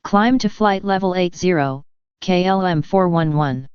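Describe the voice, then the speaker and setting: A woman's voice: fairly low pitch, a little slow, darkish tone, clean tone, no room sound. A woman giving clipped aviation phraseology over an air traffic radio transmission. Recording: dull, band-limited air traffic radio.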